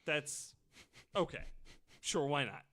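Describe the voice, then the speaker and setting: A man's voice: a little high, lively intonation, slow, pushed and bright. A man in casual comedic podcast banter, riffing and exclaiming in a jokey way. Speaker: podcast co-host.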